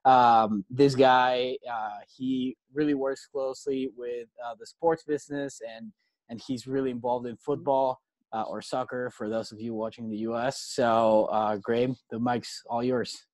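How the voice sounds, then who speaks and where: A man's voice: medium pitch, flat delivery, measured pace, darkish tone, no echo, rough recording. A man hosting an interview, asking questions casually with many fillers. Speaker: host.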